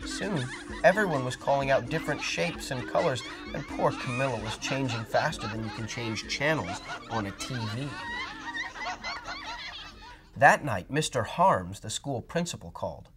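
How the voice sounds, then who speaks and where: A man reading a children's storybook aloud in an expressive, dramatic way. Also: mid-range voice, normal speed, music in the background. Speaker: storybook reader. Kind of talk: children's read-aloud narration.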